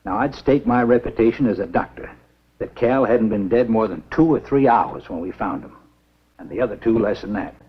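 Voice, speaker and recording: A man acting a grave, stubborn small-town American doctor in a 1950s B-movie, with a husky, deliberate, emphatic delivery. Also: on the low side, lively intonation. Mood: grave, insistent. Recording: dull, muffled 1950s film soundtrack.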